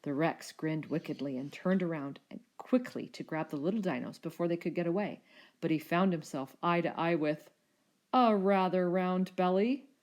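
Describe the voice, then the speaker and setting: A woman storyteller narrating a children's story in an expressive, scripted style. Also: lowish voice, moderate pace.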